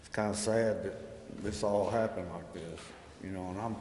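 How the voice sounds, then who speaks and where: A man giving unscripted public comment as a resident in a council chamber, halting, plain-spoken and resigned. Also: low voice, fairly even intonation, darkish tone. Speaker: resident speaking at a city council hearing. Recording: echoey hall, long reverb, faint noise.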